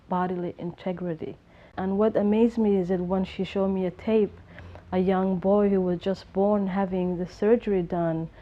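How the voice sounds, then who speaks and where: A woman's voice: lowish voice, highs cut off. A woman with a Somali accent giving earnest, emphatic personal testimony as a human rights activist.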